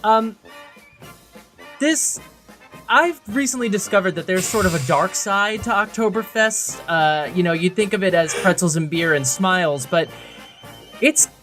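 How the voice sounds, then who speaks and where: A man's voice: sits high, a little slow, a bit bright, soft background music. A man co-hosting a podcast in a casual, informal, conversational way. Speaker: podcast co-host.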